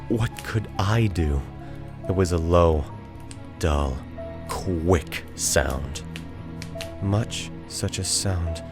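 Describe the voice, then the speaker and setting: A man voicing a guilt-crazed murderer in a theatrical, deliberate dramatic reading of a gothic horror story. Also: low-pitched, a little mellow. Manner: theatrical, deliberate phrasing with drawn-out words. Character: guilt-crazed murderer, feverishly confident, unraveling into frantic confession.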